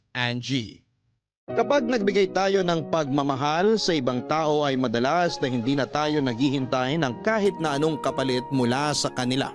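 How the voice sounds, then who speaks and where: A man with a Filipino accent reading scripted, sentimental stories as a radio host in a measured way. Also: on the high side. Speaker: radio storytelling host reading listener letters. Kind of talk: scripted dramatic narration. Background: music bed.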